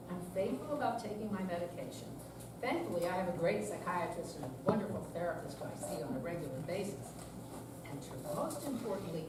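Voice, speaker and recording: Heard from a distance in a very reverberant room with steady noise, this is an actress playing a seventy-year-old woman in a staged reading. She is earnest and confiding, weary yet resolute, and speaks in a deliberate, scripted way. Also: fairly low pitch, lightly acted. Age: older. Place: senior center room, live performance. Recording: echoey room, distant mic, clear background noise.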